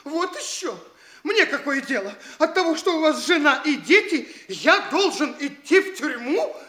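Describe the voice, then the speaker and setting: An actor playing an indignant, cowardly, blustering young petty official in a theatrical comedy radio play, stammering and exclaiming petulantly. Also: male voice, very high voice, big pitch swings, a little slow, sharp tone, full character voice. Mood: indignant, frightened.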